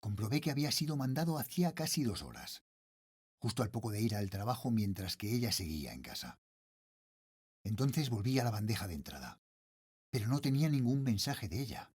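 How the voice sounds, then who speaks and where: Deep-voiced worried father, horror tale. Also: very animated, brisk, a little mellow, husky. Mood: worried, puzzled. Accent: Spanish, from Spain. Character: worried father, a night security guard narrating a horror tale. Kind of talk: scripted first-person horror audio drama narration.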